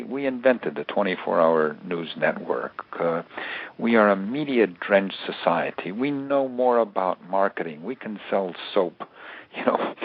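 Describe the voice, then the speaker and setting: An American man giving analytical answers as an expert interview guest, repeating words haltingly as he builds his points. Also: lowish voice. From United States. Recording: narrowband phone-like radio interview line.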